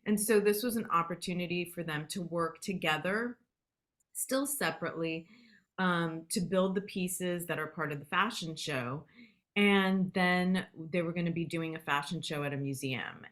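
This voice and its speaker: A woman's voice: sits low, a bit bright. Enthusiastic woman filmmaker on a call. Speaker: filmmaker guest. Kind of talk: video call Q&A. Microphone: video call.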